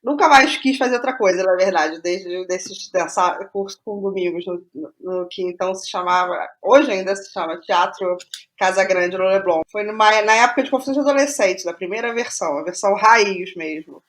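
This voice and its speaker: A middle-aged woman with a Rio de Janeiro Brazilian accent, telling her story casually as an interview guest, halting and repeating phrases for emphasis. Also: medium pitch. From Rio de Janeiro state, Brazil.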